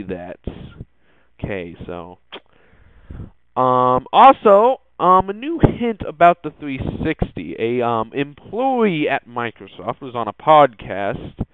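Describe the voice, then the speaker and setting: A man's voice: medium pitch, clear, resonant tone, no echo. A young man hosting a casual tech podcast, talking hesitantly with many ums and restarts. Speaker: podcast host. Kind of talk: casual tech news monologue. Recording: dry phone-like lo-fi audio.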